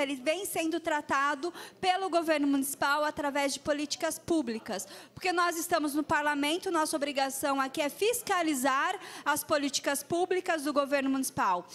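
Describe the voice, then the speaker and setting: Councilwoman in her forties, São Paulo accent, high-pitched, earnest floor speech on a handheld mic with fillers. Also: fairly bright tone. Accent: Brazilian Portuguese, São Paulo state. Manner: earnest, searching for words with many fillers. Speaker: city councilwoman. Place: large council chamber. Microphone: handheld microphone.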